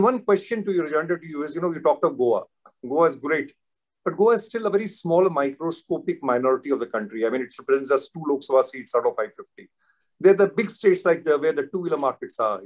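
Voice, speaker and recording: A man's voice: medium pitch, poor audio. A man in his fifties with an Indian accent, moderating a panel discussion over a video call. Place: room at home, indoors. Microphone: video call, computer mic.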